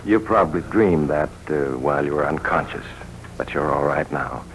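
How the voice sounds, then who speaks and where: An actor playing a calm, reassuring hospital doctor in a 1940s radio drama, measured and professional. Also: male voice, extremely low pitch, low-quality recording. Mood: soothing, questioning. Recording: faintly hissy 1940s radio recording.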